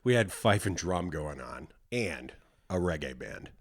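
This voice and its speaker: A man's voice: a bass voice, sing-song, unhurried, breathy edge. A man talking casually as a musician guest on a podcast, easygoing and self-deprecating. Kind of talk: casual chat.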